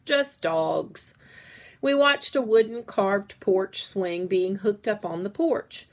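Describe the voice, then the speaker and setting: Female storybook reader, slow and deliberate pace, mid-range voice, narrating for children. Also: mid-range voice, expressive. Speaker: reader reading a children's book aloud. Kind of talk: read-aloud story narration.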